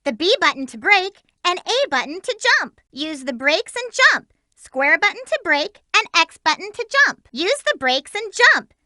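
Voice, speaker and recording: Cartoon hedgehog girl, perky and bossy, high lively voice, very dry studio sound. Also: moderate pace, dry recording, heavily acted. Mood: chipper. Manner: perky, cheerful, giving orders to teammates. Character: peppy young female hedgehog heroine, lovestruck and bossy. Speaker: video game character. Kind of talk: game voice clips.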